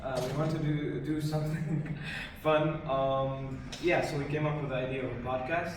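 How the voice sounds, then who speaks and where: Teen guy from syria, kinda high voice, jokey and cocky, far mic in an echoey noisy room. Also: normal speed. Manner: relaxed, joking, cocky asides. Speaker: podcast co-host. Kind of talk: casual group chat.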